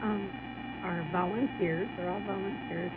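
A woman's voice: mid-range voice, steady tone, a little slow. A woman guest on a radio interview, explaining her volunteer program earnestly with many ums. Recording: tinny phone-like broadcast with music.

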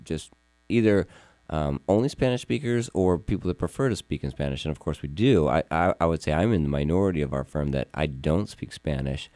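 Man, very low voice, mellow tone, host asking questions, rambling and earnest. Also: animated, brisk, no room sound. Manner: rambling, earnest, repeats words. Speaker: host, firm owner interviewing an employee. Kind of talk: casual interview. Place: Yuma, Arizona.

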